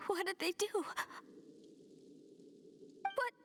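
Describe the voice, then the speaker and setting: An actress playing a distraught, devout young woman, halting and emotional, in a dramatic video game. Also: extremely high pitch, background noise, full character voice. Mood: anxious, shaken. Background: game music.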